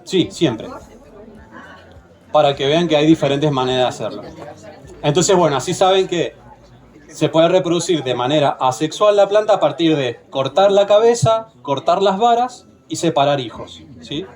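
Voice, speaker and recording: A man's voice: on the high side, lively intonation, normal speed, darkish tone, some chatter behind. A man with an Argentine accent leading a hands-on plant propagation workshop, patient and often checking in with the group. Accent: Argentine Spanish. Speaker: workshop instructor.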